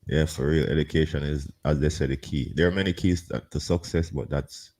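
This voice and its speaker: A man's voice: rumbling low, steady tone, a little fast, darkish tone. A man hosting a casual interview, repeating words and filling with "you know" as he asks questions. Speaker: interview host.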